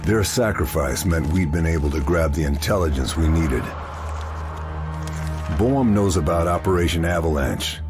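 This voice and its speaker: An actor playing a stoic American military marksman and spy in a war game, speaking in a terse, grave, measured way. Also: rumbling low, lively intonation, over music. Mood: somber, brooding. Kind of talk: cinematic war-game dialogue and brooding narration.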